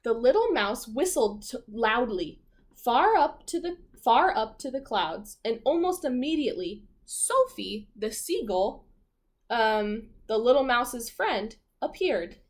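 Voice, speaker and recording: A woman teacher reading a picture book to young children, expressively, with chatty asides and questions. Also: on the high side. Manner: expressive, pausing to comment on pictures and prompt children. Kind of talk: storybook read-aloud with asides and questions to young listeners.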